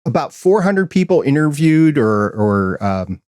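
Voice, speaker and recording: A man's voice: on the high side, very animated, unhurried, a little mellow, no echo, studio quality. A man hosting a podcast interview, asking friendly, curious questions in a casual chat. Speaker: podcast host.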